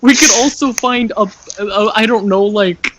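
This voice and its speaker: A man's voice: sits high, a little slow, a bit bright, a little hiss or hum. A man chatting casually as a player in a tabletop game. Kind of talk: casual chat.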